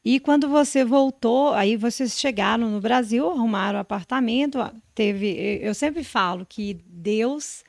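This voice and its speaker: Woman host w/ a gravelly voice, chatty questions, dry close mic. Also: a little high, a little slow, few pauses, darkish tone, dry recording. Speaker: podcast host. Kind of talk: conversational interview.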